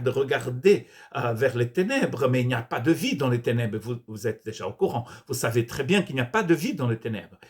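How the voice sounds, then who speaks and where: Brazilian-born man preaching a sermon. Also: fairly high pitch. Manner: earnest preaching with frequent restarts and repeated words. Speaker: pastor. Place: church.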